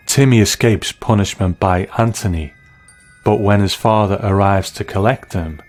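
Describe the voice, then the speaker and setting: A man's voice: on the low side, moderate pace, darkish tone, soft background music. A man delivering scripted commentary as the narrator of a review.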